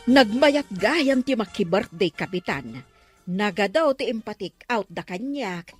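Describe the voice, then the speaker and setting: Actress doing a chummy lady village official, ilocano comedy drama, slow drawl, very up and down, chuckly. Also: medium pitch, expressive, soft background music. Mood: gleeful.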